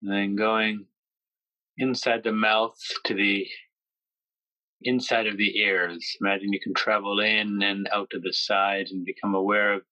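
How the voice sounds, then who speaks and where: A man with a clear-cut, bright tone guides a body-relaxation meditation. He speaks gently in short phrases with long pauses, keeping his pitch very flat. The recording is dry and slightly dull, with little sense of a room. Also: dry recording. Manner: slow, gentle, pausing between phrases. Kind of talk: guided yoga nidra meditation.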